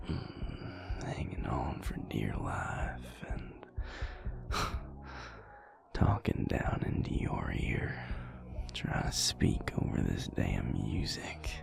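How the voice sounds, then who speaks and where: Man acting very deep, gravelly, murmuring vampire boyfriend. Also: exaggerated voice. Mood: wry, tender. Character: gruff, reluctant vampire boyfriend, teasing and tender. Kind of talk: intimate audio roleplay to the listener. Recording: music playing behind the voice. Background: loud club music.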